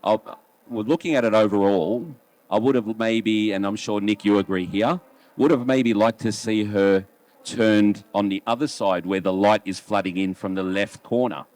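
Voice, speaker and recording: A man's voice: fairly low pitch, fairly even intonation, normal speed, darkish tone. An Australian man in his late forties talking casually as a photo critic, chatty and often checking in with his colleagues. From Melbourne, Australia. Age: late 40s. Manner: chatty, rhetorical questions to colleagues. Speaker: wedding photographer and competition judge. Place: booth at a trade show.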